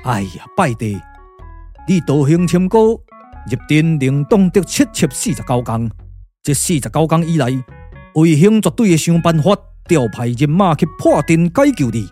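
A man with a Taiwanese accent voicing a solemn, calculating sage strategist in a puppet radio drama, speaking slowly, deliberately and persuasively. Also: on the high side, very animated, dark tone, faint music behind. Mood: earnest persuasion. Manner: slow, deliberate, weighty delivery.

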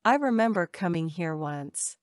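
A woman reading short English phrases for a language lesson, saying each one slowly and carefully twice. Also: lowish voice, expressive, heavy background noise. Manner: measured, careful enunciation. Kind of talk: slow repeated drill phrases.

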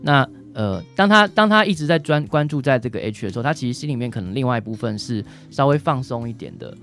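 A man's voice: mid-range voice, lively intonation, quick pace, a bit bright, soft background music. A man with a Taiwanese Mandarin accent, a doctor answering as an interview guest, explaining things in a hesitant way with frequent tag particles.

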